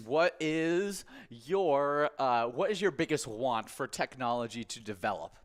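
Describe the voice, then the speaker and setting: A man's voice: mid-range voice, expressive, measured pace. A man with a Midwestern accent co-hosting a live bingo stream, chatting casually and reading promos in an upbeat way. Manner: upbeat, promotional, asks questions. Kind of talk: casual chat and promo reads.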